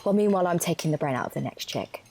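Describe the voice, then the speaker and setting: A woman's voice: on the low side, lively intonation, very fast, a little mellow, a little hiss or hum. A young woman, a student actor, playing an earnest, matter-of-fact lab scientist explaining research in a naturalistic audio drama. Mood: precise, explanatory. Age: young adult.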